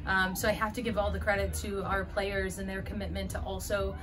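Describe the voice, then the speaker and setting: A woman in her thirties, a head softball coach, answering postgame questions earnestly with many fillers, recorded indoors by a window. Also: medium pitch, little pitch movement, fairly quick, background noise. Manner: earnest, fillers and restarts, gestures while talking. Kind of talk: postgame press interview.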